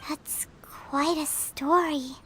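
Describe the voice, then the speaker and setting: A woman voicing a tiny, naive, curious fairy companion in a video game, with a squeaky childlike voice. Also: steady tone, very slow, bright, forceful tone, a little hiss or hum, exaggerated voice, low-quality recording. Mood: puzzled. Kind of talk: scripted video game dialogue.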